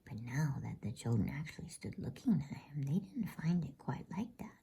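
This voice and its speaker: A woman reading a bedtime story as ASMR in a soft, unhurried, breathy, intimate whisper. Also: low-pitched, big pitch swings.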